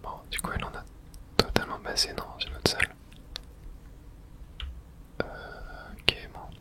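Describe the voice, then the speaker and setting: Someone whispering throughout an ASMR let's play, narrating the game and reading its texts aloud in a hush. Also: a little slow. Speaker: host playing and narrating a game.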